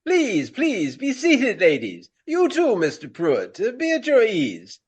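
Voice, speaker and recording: A man playing a pompous, vain Regency gentleman actor, speaking in florid, courtly phrases in a radio play. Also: sits high, huge pitch swings, slow pace, husky, heavily acted. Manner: florid, formal, grandiloquent.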